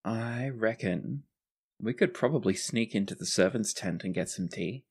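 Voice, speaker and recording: A player acting out an easygoing male fantasy fighter in a tabletop game. He is plain-spoken and protective, with a gentle teasing streak, in a mid-range voice. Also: medium pitch, animated, moderate pace, husky.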